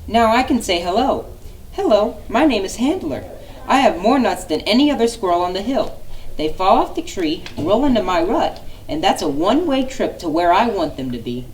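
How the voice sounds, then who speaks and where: A woman voicing a cheerful, fast-talking male squirrel who hoards nuts, in a storybook reading. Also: medium pitch, very animated, darkish tone, far from the mic, slight background noise, voice pushed up, close to an everyday voice, poor audio. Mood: chirpy. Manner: rapid, chirpy and eager.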